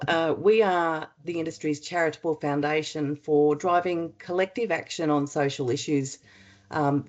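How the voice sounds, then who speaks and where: An Australian woman with a husky head-cold voice, chairing a webinar in a chatty way with many ums and uhs. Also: low voice, darkish tone, muffled recording. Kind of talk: webinar opening remarks. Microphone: computer mic on a video call.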